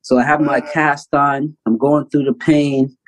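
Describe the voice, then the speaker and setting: Animated storytelling man. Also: a little high, measured pace. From Canada. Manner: animated storyteller, acts out quotes loudly. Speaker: basketball player, guest. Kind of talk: casual storytelling interview.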